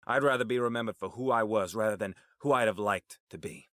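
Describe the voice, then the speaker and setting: A man's voice: lowish voice, brisk, a bit bright, no room sound, clean studio sound, natural voice. A man playing a proud, menacing crime boss in an audio drama, indignant and confrontational. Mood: bitter menace.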